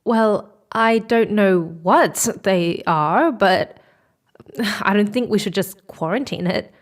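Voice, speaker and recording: A woman's voice: medium pitch, slow pace, lightly acted. An actress playing a guarded, grieving mother on a space mission in a sci-fi audio drama, polite and hesitant in her delivery.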